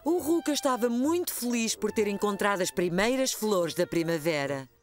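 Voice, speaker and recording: A woman's voice: mid-range voice, expressive, normal speed. A woman narrating a children's story from a script, with a European Portuguese accent.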